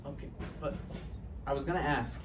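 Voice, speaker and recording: A woman's voice: rumbling low, moderate pace. A woman playing an insecure, wounded boyfriend who needles and pleads in a live table read of a relationship play. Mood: pleading. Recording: echoey, noisy room, distant mic.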